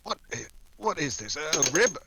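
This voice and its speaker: Wry actor as a radio secret agent, animated delivery. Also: male voice, a little high, a little slow, creaky, very noisy, heavily acted. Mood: alarmed, urgent. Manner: wry, sardonic. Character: wry, tough male American secret agent investigating smuggling. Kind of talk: old-time radio drama acting.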